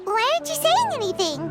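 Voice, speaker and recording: Game sidekick: excitable little fairy, very high and childlike, swooping delivery, full of herself. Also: female voice, heavily acted. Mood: excited, boastful. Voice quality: squeaky, childlike. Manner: speaks of herself in the third person. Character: tiny excitable fairy companion girl, boastful and food-loving. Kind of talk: video game character dialogue. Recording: children's game music behind the voice.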